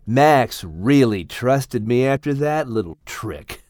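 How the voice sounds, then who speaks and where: A man voicing a menacing, boastful king cobra villain in a dramatized children's audiobook, with a gloating, taunting, drawn-out delivery. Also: medium pitch, animated, darkish tone, dry recording, clean studio sound. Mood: smug, boastful.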